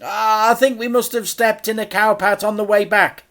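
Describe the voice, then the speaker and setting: A man's voice: high-pitched, steady tone, normal speed, a bit bright, voice pushed up. A man voicing a plucky young boy in a children's storybook, earnest and insistent. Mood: nervous fibbing.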